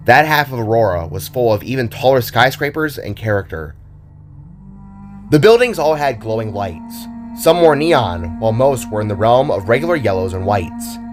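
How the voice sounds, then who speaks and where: A man reads scripted fiction as a narrator, in a dramatic storytelling style. His voice sits fairly low, and music plays behind it.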